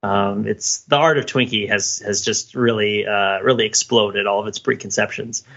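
A man's voice: fairly low pitch, fairly even intonation, moderate pace, vocal fry, fairly bright tone. A talkative man chatting casually and at rambling length as a podcast co-host. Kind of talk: casual chat. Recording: dull, lo-fi microphone.

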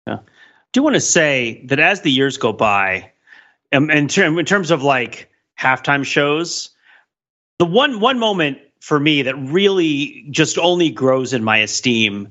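A man's voice: on the high side, animated, unhurried, bright, forceful tone. A man co-hosting a podcast with casual, humorous commentary, recorded in slightly dull, muffled audio. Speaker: podcast host.

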